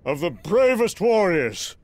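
Man acting a grand, slow-talking thunder god. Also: high-pitched, big pitch swings, heavily acted. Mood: boastful, jovial. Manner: grand, theatrical, archaic formal diction. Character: boastful, mighty Norse thunder god, proud warrior storyteller. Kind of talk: dramatic comic book reading with narration.

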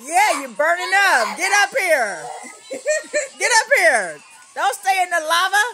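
A middle-aged American woman chatting casually with a small child at home, coaxing and gently scolding from behind a handheld phone. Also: extremely high pitch, big pitch swings, unhurried, over loud music. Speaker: caregiver filming a toddler at play. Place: cluttered carpeted living room.

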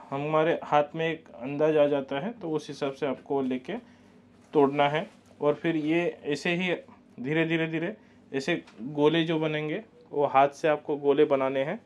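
A fairly high-voiced man walks through a baking recipe step by step, calm and instructive, and repeats his warnings so nothing gets missed. He is recorded on the camera mic in a commercial bakery kitchen. The sound is dull and a bit muffled. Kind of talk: step-by-step cooking demonstration.

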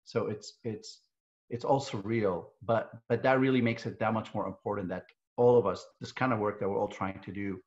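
A man's voice: lowish voice, steady tone, moderate pace, darkish tone. A man in his forties from Syria, a guest on a webinar, talking casually from his living room with joking asides and frequent restarts. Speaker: guest, CEO of a civic organization. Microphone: earbud headset on a video call. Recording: dull, lo-fi video call audio.

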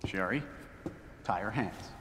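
An actor playing a menacing, corrupt authority figure in a video game cutscene, commanding, threatening and sneering. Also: male voice, lowish voice, slow pace, fairly bright tone. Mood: cold, menacing. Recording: echoey hall, faint background noise.

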